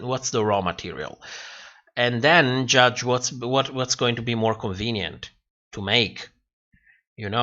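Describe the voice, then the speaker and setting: A man's voice: mid-range voice, bright, forceful tone, muffled recording. A man hosting a casual livestream, answering viewer comments in a rambling way and repeating phrases as he thinks aloud. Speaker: livestream host.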